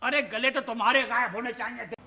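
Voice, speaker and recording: A Pakistani actor playing an exasperated, scolding religious schoolteacher in a satirical TV play. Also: male voice, very high-pitched, fairly even intonation, fast, creaky, a crowd in the background. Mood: lamenting. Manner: scolding, declamatory. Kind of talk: satirical TV stage-play acting. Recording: echoey old TV drama soundtrack.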